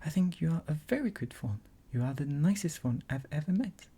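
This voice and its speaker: A woman's voice: low-pitched, expressive, fairly quick, husky, voice pushed up. Woman voicing a kind young girl, whispery. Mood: reassuring. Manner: soft whispery reading of a child's pleas.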